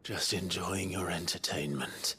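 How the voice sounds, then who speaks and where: An actor playing a grim, determined young swordsman nobleman in a video game, terse and intense, with a gravelly, breathy delivery. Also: sits low, fairly even intonation, unhurried, bright, forceful tone, exaggerated voice. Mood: terse defiance. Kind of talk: video game cutscene acting.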